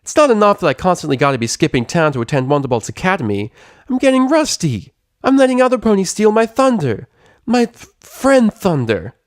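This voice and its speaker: A man's voice: sits high, very animated, fairly quick, dry recording, studio quality, pitched above the performer's own voice. A man voicing a brash, irritable young female pegasus pony from a cartoon story, with a cracking voice and slangy, blustering delivery. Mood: exasperated, defiant. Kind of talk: animated character voice in a story reading.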